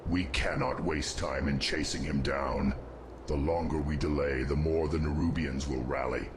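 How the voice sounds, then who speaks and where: A man playing a grave, resolute undead insectoid commander, speaking formally and with measured authority in a fantasy game cutscene. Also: a bass voice, fairly even intonation, noisy background. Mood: commanding.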